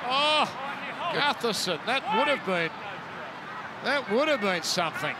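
A man calling a rugby league match live, excitable and rising to shouts on big plays. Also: extremely high pitch, measured pace. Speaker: play-by-play commentator. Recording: loud stadium crowd and noise. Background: roaring stadium crowd.

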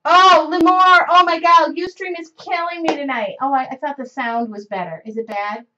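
A woman's voice: high-pitched, steady tone, moderate pace, pushed and bright, a little hiss or hum. Chatty woman in her forties, lo-fi webcam. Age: 40s. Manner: rambling, self-interrupting, laughs at herself. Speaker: craft show host. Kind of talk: live-streamed craft demo, chatty. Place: home craft studio with shelves. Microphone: webcam in front of her. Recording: dull lo-fi webcam stream audio.

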